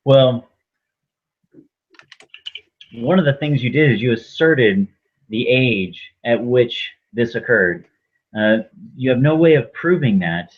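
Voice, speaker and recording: A man's voice: medium pitch, animated, slow pace, pauses often, husky, muffled recording. A man arguing his side as a guest in an online debate, pressing his point with many uh and um fillers.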